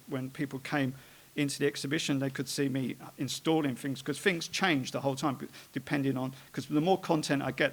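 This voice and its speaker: A man, an artist, talking informally about his own work, halting often with fillers and restarts as he rambles through it. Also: medium pitch.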